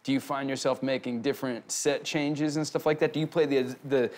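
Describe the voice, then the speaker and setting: A man's voice: mid-range voice, steady tone, fairly quick, darkish tone. A man who hosts a casual dinner conversation as a fellow musician, easygoing and agreeable. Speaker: host, touring musician. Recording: music behind the voice.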